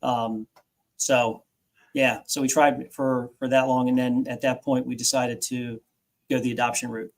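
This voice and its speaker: A man's voice: mid-range voice, flat delivery, measured pace. A man in his forties, a guest author recounting his own story in an interview, earnest and reflective, often restarting phrases.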